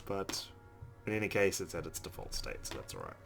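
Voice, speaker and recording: A man's voice: sits low, sing-song, brisk, vocal fry, fairly bright tone, soft background music. A man playing a visual novel, reading its narration aloud and dropping in casual asides as a let's player. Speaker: let's play gamer.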